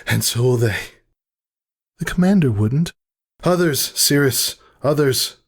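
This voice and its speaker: Guy doing a high urgent lady pony midwife in a dramatic story, pushy coaching voice. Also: lively intonation, measured pace, breathy edge. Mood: hushed urgency. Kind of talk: dramatic audiobook character voice.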